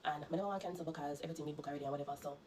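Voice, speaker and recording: A woman's voice: low voice, steady tone, racing, noisy background. A Jamaican woman vlogger, congested and coughing, earnestly recounting her illness to her viewers and warning them. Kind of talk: personal storytelling to viewers.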